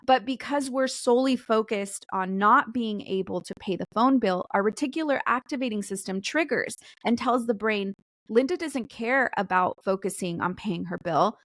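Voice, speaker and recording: A woman's voice: a little high, normal speed, a bit bright, dry recording. A woman in her forties, a podcast guest explaining ideas in an earnest way. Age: 40s. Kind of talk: podcast interview.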